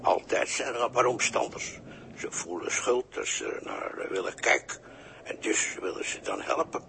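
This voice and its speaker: An actor playing a folksy, evasive, callously matter-of-fact bystander in a halting, colloquial 1960s radio drama style. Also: male voice, mid-range voice, huge pitch swings, creaky, exaggerated voice. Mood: grim recollection. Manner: halting, colloquial, with tags and repeats. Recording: noisy early 1960s radio recording.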